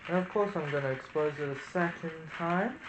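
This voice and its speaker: A man's voice: a little high, fairly quick. A man presenting a casual hands-on experiment, thinking aloud as he demonstrates. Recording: roomy echo, noticeable background noise.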